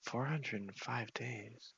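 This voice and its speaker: A man softly narrating his gameplay ASMR-style into a headset microphone in a casual, unhurried, rambling way, at times dropping to a whisper. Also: medium pitch, vocal fry, muffled recording, slight background noise. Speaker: host of a gaming channel. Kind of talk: soft-spoken ASMR gameplay commentary.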